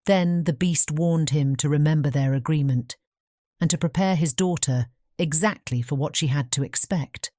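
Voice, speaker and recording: A woman's voice: low voice, moderate pace, mellow, no echo, studio quality. A woman narrating a fairy tale audiobook from a script.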